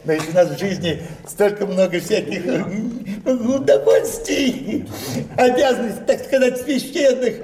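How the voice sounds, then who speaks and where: High-voiced, moralizing old gentleman. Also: measured pace. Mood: sentimental, preachy. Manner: effusive, preachy, deferential. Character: genial, moralizing old gentleman, sentimental family man. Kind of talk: theatrical stage comedy acting. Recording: roomy, distant mic, faint noise.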